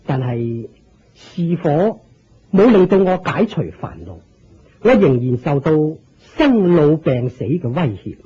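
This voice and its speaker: An earnest, reverent old monk in a radio drama, with a Hong Kong Cantonese accent. The voice is hoarse-edged and slightly high, rising and falling as he declaims deliberately. It sounds muffled and lo-fi. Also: very animated, warm tone, full character voice. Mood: solemn, explaining. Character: earnest, reverent elderly religious master turned Buddhist disciple. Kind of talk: Buddhist radio drama acting. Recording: dull lo-fi radio drama recording.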